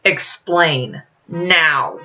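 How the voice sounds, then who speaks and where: A woman's voice: deep voice, very slow, sharp tone, distant mic, slight background noise, full character voice. A woman voicing a wary, accusing man in a fiction reading, with a biting, demanding manner. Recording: dull lo-fi audio.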